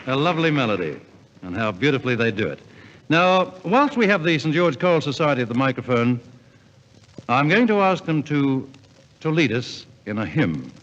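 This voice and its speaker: A man's voice: medium pitch, sing-song, normal speed, a bit bright, slight background noise. A man compering a 1950s live radio variety show in a genial, formal showman's manner. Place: concert hall stage. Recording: muffled 1950s radio recording, audience sounds.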